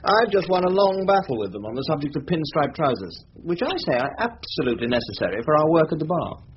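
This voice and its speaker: Pedantic English barrister, quick and animated, prim radio drama delivery, dull recording. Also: male voice, a little high, slight background noise. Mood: prim insistence. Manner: prim, self-satisfied.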